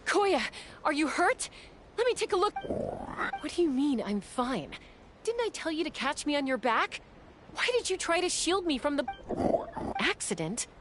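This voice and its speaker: High-voiced, brash young gunslinger. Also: female voice, big pitch swings, measured pace, long pauses, noisy background. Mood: worried. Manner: casual, confident, urgent. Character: bold young female gunslinger peacekeeper, brash but caring. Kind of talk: video game voice acting. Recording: loud music behind the voice. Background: loud game music.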